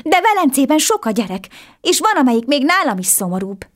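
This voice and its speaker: A woman's voice: expressive, lightly acted. A woman voicing an earnest, eager poor little boy in a storybook tale, asking questions and pleading. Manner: high, pleading, quick questions.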